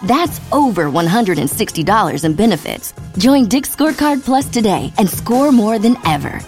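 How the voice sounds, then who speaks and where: Female commercial voice-over, upbeat, lively pitch, faint music bed. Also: moderate pace. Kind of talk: upbeat scripted ad read.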